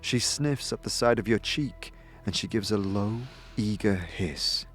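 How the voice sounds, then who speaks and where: A man narrating a dramatic second-person story as a game master, in a measured, deliberate, atmospheric manner. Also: fairly low pitch. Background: music.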